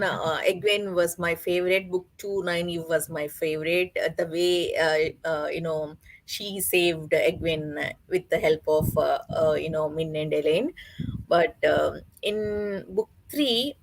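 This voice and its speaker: A woman talking casually about books as a panelist, in a hesitant, halting way with pauses. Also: fairly low pitch, no echo, poor audio. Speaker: book club panelist. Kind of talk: casual book discussion.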